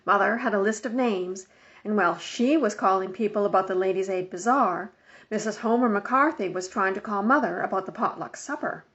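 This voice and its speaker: A woman's voice: mid-range voice, brisk, fairly bright tone. Woman reading a children's book, slightly muffled. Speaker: storybook reader. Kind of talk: expressive scripted children's book narration. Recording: dull lo-fi home recording.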